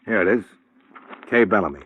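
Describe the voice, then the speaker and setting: Low-voiced hard-boiled American radio detective. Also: male voice, lively intonation, fairly quick, husky, muffled recording. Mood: coaxing, persistent. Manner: clipped, wry, hard-boiled. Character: male insurance investigator, wry, persistent, hard-boiled narrator. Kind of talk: 1950s radio detective drama.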